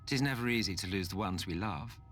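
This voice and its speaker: A man's voice: sits low, brisk, fairly bright tone. An actor playing a sardonic, world-weary villainous nobleman in a video game, with a condescending, theatrical drawl. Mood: mocking, callous. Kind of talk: video game cutscene acting. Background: background music.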